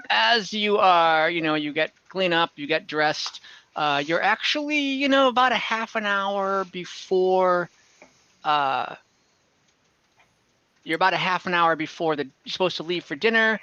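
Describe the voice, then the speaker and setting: Guy game mastering online, casual and halting, bright higher voice, dull lo-fi call audio. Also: clear, resonant tone, dry recording. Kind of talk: casual tabletop game narration. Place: online call, dry close room.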